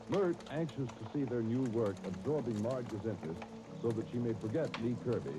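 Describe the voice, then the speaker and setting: An American man announcing from a script in dramatic, emphatic 1930s radio style. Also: medium pitch. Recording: noisy 1939 radio broadcast disc.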